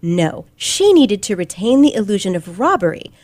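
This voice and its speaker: A woman playing a confident, sharp teenage girl detective in an old-fashioned radio play, with precise, theatrical delivery. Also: medium pitch, very animated, normal speed, dry recording, close to an everyday voice. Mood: triumphant, emphatic. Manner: theatrical, precise, dramatic emphasis.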